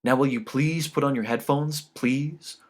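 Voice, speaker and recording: A man reading an audiobook, voicing a male guitarist who is polite, nervous and insistent and talks loudly. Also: mid-range voice, fairly even intonation, a little slow, pitched above the performer's own voice, lightly acted. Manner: loud, urgent, cautioning. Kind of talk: audiobook character dialogue.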